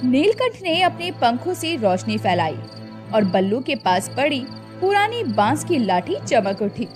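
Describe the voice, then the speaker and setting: Casting: woman storybook narrator, high and very lively, expressive. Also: unhurried, over music.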